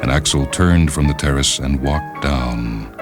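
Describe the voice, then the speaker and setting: A man reading a literary short story as a radio host, in a measured, deliberate and formal manner, on a 1970s radio recording. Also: a bass voice. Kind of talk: scripted literary narration. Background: music bed behind the reading.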